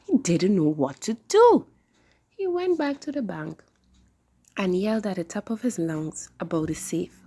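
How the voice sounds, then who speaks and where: Woman reading a kids' story out loud, bouncy pitch, a bit husky. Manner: animated, expressive storytelling. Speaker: children's story host. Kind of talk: children's read-aloud.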